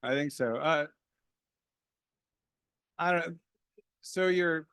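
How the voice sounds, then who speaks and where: A man with a slightly high voice talks as a conference panelist in a dry, close sound. He is informal and candid, with a self-deprecating, conversational way of answering. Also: extremely slow, fairly bright tone, clear, resonant tone, dry recording. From small town, grew up there. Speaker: panelist, experienced software developer.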